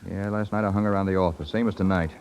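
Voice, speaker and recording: An actor playing a lovesick, jealous young husband in 1930s radio drama style, agitated and brooding. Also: male voice, deep voice, fairly even intonation, fast talker, darkish tone, clean tone. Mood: bitter, agitated. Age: young adult.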